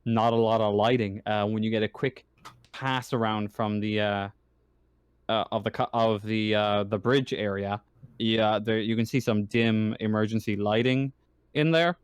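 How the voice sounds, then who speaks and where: A man acting as game master, casually narrating a tabletop roleplaying game in a halting way, searching for words. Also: lowish voice, fairly even intonation, clear, resonant tone, dry recording.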